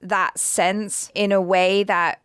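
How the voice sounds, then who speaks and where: Woman, grew up in Indonesia, casual reaction chat, smooth resonant voice, dry close sound. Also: medium pitch, little pitch movement, slow pace, fairly bright tone, dry recording. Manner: enthusiastic, lots of fillers like "like" and "you know". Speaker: movie reaction co-host. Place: Los Angeles home studio.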